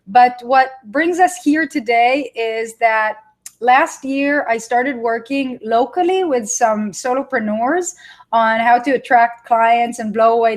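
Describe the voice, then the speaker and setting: Israeli woman in her thirties, webinar trainer, chatty and warm-pitched, laughs easily. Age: 30s. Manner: chatty, laughs often, talks to viewers by name. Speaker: online marketing trainer. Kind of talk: live webinar teaching.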